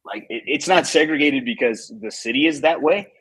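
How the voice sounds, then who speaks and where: Husky laid-back man telling stories. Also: on the high side, moderate pace, fairly bright tone, dry recording, poor audio. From Chicago. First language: Spanish. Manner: laid-back, laughing, profane storyteller. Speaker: podcast guest, former police officer. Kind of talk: casual storytelling chat.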